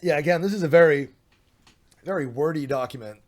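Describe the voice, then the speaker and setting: A man's voice: a little high, brisk. A man streaming, reading a document aloud with sarcastic, mocking asides, his voice getting a little worn.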